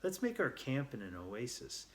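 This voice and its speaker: A man's voice: medium pitch, wildly swooping pitch, moderate pace, slight background noise. A man voicing a confident, knowing male desert guide in a children's storybook read aloud. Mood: explaining.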